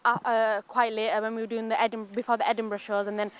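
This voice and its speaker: An eighteen-year-old woman with a smooth, clear voice pitched a little high. She explains things eagerly and fluently in a casual interview. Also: flat delivery, fast talker. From Bradford, England. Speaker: interviewee, stage performer.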